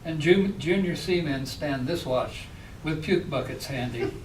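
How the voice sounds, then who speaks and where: A man's voice: on the high side, measured pace. A man who is a poet, reading his own work aloud at a live poetry reading. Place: public library reading room. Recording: echoey room, distant mic, faint noise.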